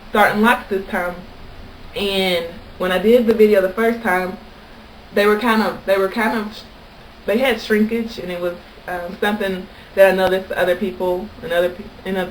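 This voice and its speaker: A woman's voice: mid-range voice, slight background noise, rough recording. A woman from Kentucky with an American accent, chatting casually and anecdotally to camera as a vlogger. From Kentucky, United States.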